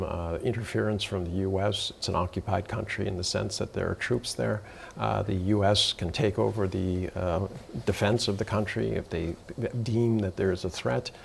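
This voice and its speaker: A man with a heavily gravelly, low voice gives frank commentary on a news panel. He talks steadily, scattering fillers between his phrases. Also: darkish tone, slight background noise.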